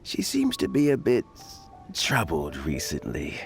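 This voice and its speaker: Polite rambly old grandpa for a game, super slow talker, loud music behind him. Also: male voice, sits low, huge pitch swings, vocal fry, heavily acted. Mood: hesitant, concerned. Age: older. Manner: courteous, rambling, hesitant. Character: kindly, wordy, modest old man of high rank. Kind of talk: video game voice acting. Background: background music.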